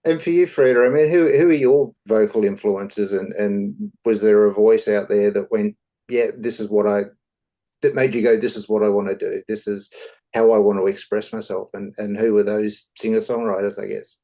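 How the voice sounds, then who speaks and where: Low, mellow interviewer. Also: male voice, fairly even intonation, normal speed. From Australia. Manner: warm, rambling questions. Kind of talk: casual interview.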